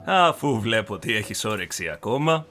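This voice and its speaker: A man voicing a calm, seasoned general who speaks quietly and measuredly, gently teasing his young pupil. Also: lowish voice, loud music behind the voice. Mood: fond. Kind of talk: fan dub of an animated short.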